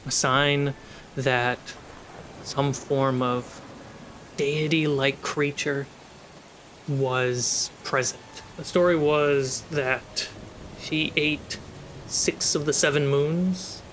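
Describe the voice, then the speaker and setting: A man runs a tabletop fantasy game as its storyteller. His voice sits a little high and he takes his time, pausing for drama. Faint storm ambience runs underneath. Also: fairly even intonation, fairly bright tone, slight background noise. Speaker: game master. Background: storm and ship sound effects.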